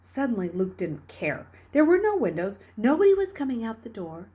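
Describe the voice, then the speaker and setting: A woman reading a novel aloud as a storyteller, with dramatic, expressive delivery. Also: on the high side, moderate pace, breathy edge. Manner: dramatic, expressive, with hushed dips and emphatic rises. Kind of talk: read-aloud storytelling narration.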